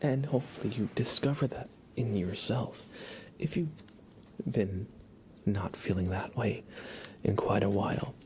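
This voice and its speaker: A man hosting an ASMR livestream in a soft, breathy half-whisper with a creaky low voice, playful and unhurried, close on the microphone. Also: background noise. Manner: playful, gently joking, unhurried. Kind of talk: ASMR tarot card reading for viewers.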